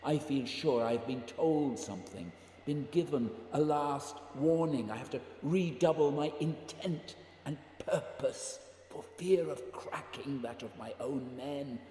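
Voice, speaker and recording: An actor as a mellow, echoing, burdened polar explorer. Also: male voice, medium pitch, unhurried, natural voice. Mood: haunted, grave. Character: brooding, burdened male polar expedition leader. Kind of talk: dramatic radio play acting. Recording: echoey reverberant room, faint noise.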